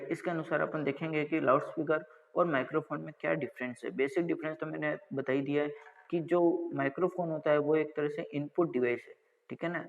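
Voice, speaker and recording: In a dull recording with long reverb, a man gives a technical lecture. His voice sits slightly high for a man. He teaches step by step, posing questions, answering them himself and repeating little check phrases. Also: vocal fry. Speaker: college lecturer.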